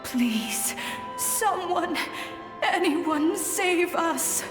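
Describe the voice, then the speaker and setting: A woman's voice: extremely high pitch, expressive, heavily acted. A woman voicing a desperate, pleading ghostly woman soldier. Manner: pleading, slow. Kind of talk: video game voice acting. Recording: echoey reverb over game music.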